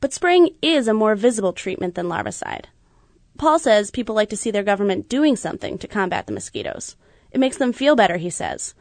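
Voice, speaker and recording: A woman reporter reads a radio news feature in a steady, measured way. Her voice sits at an ordinary middle pitch. The studio sound is close and dry, with no room around it. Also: no room sound. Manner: measured, even reporting delivery. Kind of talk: scripted news narration.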